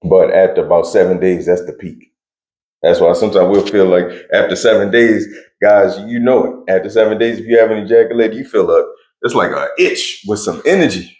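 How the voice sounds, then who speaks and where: A man's voice: fairly low pitch, fairly quick, darkish tone, echoey room. A young man answering interview questions in a laid-back, blunt way with slang and frequent laughs. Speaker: interview guest.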